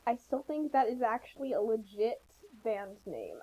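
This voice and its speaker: A woman's voice: fairly high pitch, very animated, normal speed, warm tone, slightly rough, poor audio. A woman giving casual, joking commentary in a wry, sarcastic manner. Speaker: co-commentator on a fan fiction reading.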